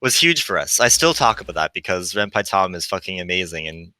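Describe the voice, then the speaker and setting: Male game developer, 20s, smooth resonant voice, close dry sound, relaxed conversational interview guest. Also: low-pitched, brisk, no room sound, rough recording. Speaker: visual novel developer, guest. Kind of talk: casual chat about game development.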